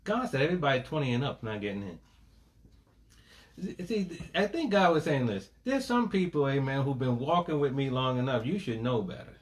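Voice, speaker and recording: A man's voice: medium pitch, big pitch swings, fairly quick. A man preaching a sermon, calling for amens and mixing scripture with folksy everyday stories. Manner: preaching with call-and-response, folksy asides, repeated "amen". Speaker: pastor.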